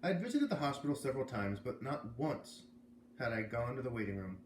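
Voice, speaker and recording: A man's voice: mid-range voice, sing-song, brisk. A man with an American accent, hosting a read-aloud of a children's novel as a storyteller. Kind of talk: reading a children's novel aloud, with casual asides. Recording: roomy echo, faint background noise.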